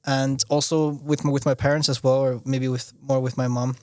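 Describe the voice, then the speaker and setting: A young man who grew up in Austria chats as a guest on a podcast, his speech halting and full of restarts. His voice sits at a middle pitch for a man and is recorded close and dry, with no room sound. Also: flat delivery, darkish tone, dry recording. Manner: halting, restarts often, leans on "you know". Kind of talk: casual conversational interview.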